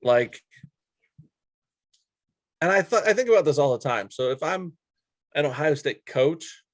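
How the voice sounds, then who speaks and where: A man's voice: fairly high pitch, lively intonation, measured pace, dry recording, clean studio sound. A man co-hosting a sports talk show in a casual, bantering style.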